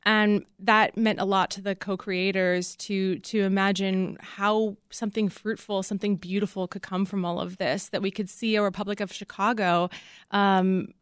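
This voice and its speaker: A woman with a very clear, smooth voice talks as an interview guest. She is casual and expressive, recorded close in a dry studio. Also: medium pitch, normal speed, no room sound. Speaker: interview guest, audio drama co-creator.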